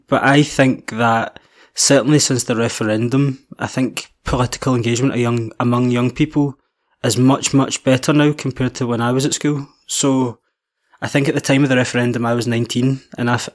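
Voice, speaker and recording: A man in his twenties hosting a podcast, reading a measured intro and then chatting friendly with his guest. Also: medium pitch. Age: 20s. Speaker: podcast host. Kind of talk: scripted intro then casual interview.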